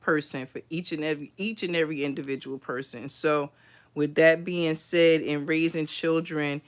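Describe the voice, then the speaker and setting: A middle-aged woman hosting a livestream book review, chatting in an unhurried, thoughtful way with many pauses and restarts. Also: low voice, fairly even intonation. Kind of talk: casual livestream book talk.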